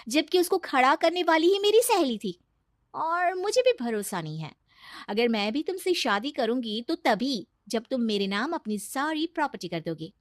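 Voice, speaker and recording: A woman voicing a coy, shrewd young aspiring model in an animated story, with a teasing manner. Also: sits high, no echo, studio quality, pitched above the performer's own voice.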